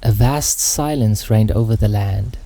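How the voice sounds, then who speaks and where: A man narrating fiction as a dramatic audiobook, in a grave, measured and deliberate way. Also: on the low side, mellow, no room sound.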